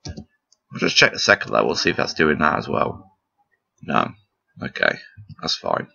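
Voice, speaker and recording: A man with a low, husky and croaky voice chats loosely while playing a puzzle game, trailing off and teasing himself. The recording is a bit dull and lo-fi, with faint game music underneath. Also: sing-song, unhurried, sharp tone. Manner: rambling, self-deprecating, chuckles often. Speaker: gameplay commentator. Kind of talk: casual gameplay commentary.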